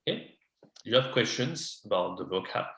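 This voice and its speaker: A man teaching French on a video call to a beginner, switching between English and French and guiding slowly and patiently. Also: on the low side, animated, fairly bright tone, reverberant. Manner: patient, slow, coaching a beginner. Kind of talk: online lesson, mixing English and French.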